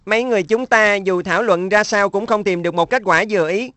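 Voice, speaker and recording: Schoolboy, middle sibling, played by a woman. Mid-pitched, earnest and a touch plaintive, children's cartoon dub. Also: fast talker, a bit bright, no echo, natural voice. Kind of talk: Vietnamese-dubbed children's cartoon.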